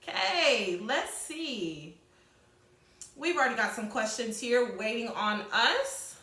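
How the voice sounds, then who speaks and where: A woman teacher leading a read-aloud lesson, informally addressing pupils with explanatory asides and slightly halting reading. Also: on the high side, lively intonation, sharp tone. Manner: halting, self-correcting reading. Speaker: sixth-grade teacher. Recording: echoey room.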